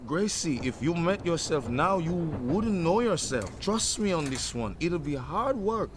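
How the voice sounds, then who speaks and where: Actor as streetwise musician friend, affectionate, encouraging, coaxing, comedic radio drama, clear urban vehicle noise. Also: male voice, on the high side, expressive, measured pace. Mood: fond.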